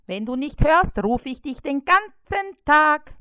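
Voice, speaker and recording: A woman voicing a shrill, nagging little fly. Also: big pitch swings, slow, bright, forceful tone, no echo, studio quality, heavily acted. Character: whiny, demanding male fly caught in a web.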